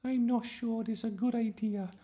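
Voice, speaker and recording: A performer voicing a flustered, gentle woman schoolteacher in a storybook, hesitant and fussy. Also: medium pitch, steady tone, moderate pace, slightly rough, voice pushed up, close to an everyday voice.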